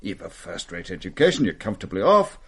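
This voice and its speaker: An actor playing a pompous, teasing Sheriff Principal in a radio drama. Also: male voice, fairly low pitch, big pitch swings, fast, creaky, breathy edge, full character voice. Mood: pompous, probing. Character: Sir Harry Kelso, pompous older male sheriff.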